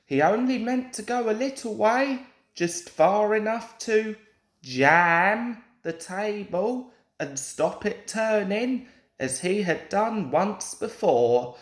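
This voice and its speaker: A young man reads a children's storybook with plenty of expression. His delivery is unhurried and very varied in pitch. The room adds a noticeable echo to his voice. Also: expressive. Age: young adult. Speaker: storybook reader. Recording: roomy home recording with echo.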